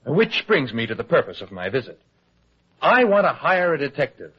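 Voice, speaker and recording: A wisecracking tabloid reporter from a muffled vintage radio play. His husky voice swoops up and down with theatrical, teasing mock grandeur. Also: on the high side, big pitch swings, moderate pace. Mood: playful, eager. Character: cocky, wisecracking male tabloid newspaper reporter. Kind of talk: old-time radio drama acting. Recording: muffled old radio broadcast recording.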